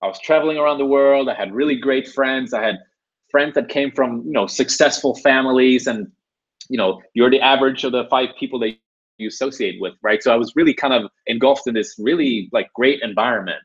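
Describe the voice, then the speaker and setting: A man's voice: medium pitch, fairly quick, husky. Young guy from sweden chatting through a live webinar, easygoing and loose, kinda muffled lo-fi sound. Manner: casual, conversational, lots of fillers like "you know" and "right". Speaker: webinar host. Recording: dull lo-fi webinar audio.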